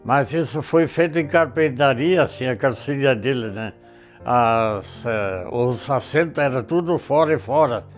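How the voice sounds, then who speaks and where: Picture a man in his nineties, his voice pitched at an ordinary middle height, telling a documentary interviewer about a long trip from decades ago. He rambles and circles back, stringing his memories together with little tag words, while soft guitar music plays faintly underneath. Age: 90s. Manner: rambling, slow recollection full of filler tags.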